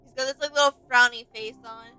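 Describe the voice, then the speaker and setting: A woman's voice: on the high side, fairly even intonation, fast, sharp tone, poor audio. A woman co-hosting a reaction video, chatting casually with brief agreeing remarks. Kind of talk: casual chat. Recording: music playing behind the voice. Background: music.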